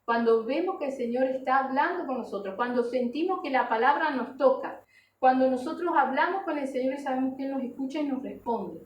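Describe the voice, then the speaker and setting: A woman's voice: high-pitched, fairly quick. A woman preaching a sermon, exhorting her listeners earnestly with rhetorical questions and short check-in tags. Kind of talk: sermon to a congregation. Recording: echoey room, distant mic, background noise.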